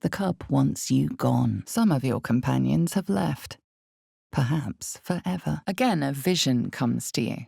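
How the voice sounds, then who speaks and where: A woman voicing an ominous, all-knowing narrator of a dark fantasy video game, speaking in slow, deliberate, dramatic storytelling with a creaky low voice. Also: animated, dry recording, clean studio sound, exaggerated voice. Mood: ominous, measured. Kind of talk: scripted second-person dark fantasy narration.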